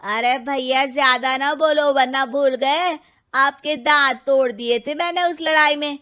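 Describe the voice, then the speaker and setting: Woman doing a bratty little brother, super high voice, slow and smug. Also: sharp tone. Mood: mocking. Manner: mocking, boastful. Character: cocky younger brother, boastful and mouthy. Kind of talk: Hindi cartoon voice acting.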